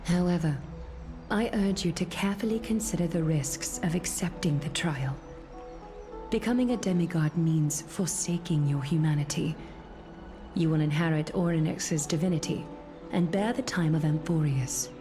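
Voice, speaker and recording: A woman playing a solemn, regal leader, speaking in a measured, formal, composed way in scripted fantasy game dialogue. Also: deep voice, over music, close to an everyday voice. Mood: grave.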